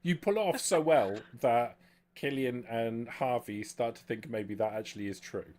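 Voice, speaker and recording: A man's voice: medium pitch, moderate pace, a little mellow. A man working as game master, improvising narration and talking players through a tabletop game.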